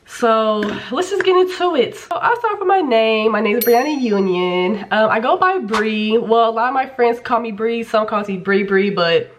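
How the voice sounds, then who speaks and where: A woman's voice: a little high, lively intonation, moderate pace, few pauses. A woman in her twenties from Kansas City, Kansas, chatting candidly as a vlogger, a little nasal from a cold, with casual swearing and lots of fillers. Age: 20s. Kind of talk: casual get-to-know-me vlog.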